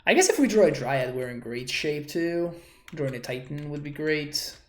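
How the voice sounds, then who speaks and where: A man's voice: fairly high pitch, animated, normal speed. A man from Argentina, streaming a card game and thinking aloud as he works through his plays. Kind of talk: live card game commentary. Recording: roomy echo.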